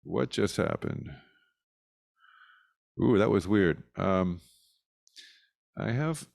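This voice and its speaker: A man with a low, smooth voice casually guiding a group singing session in an easygoing, encouraging way. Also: expressive, extremely slow, darkish tone, dry recording, studio quality. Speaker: singing circle leader.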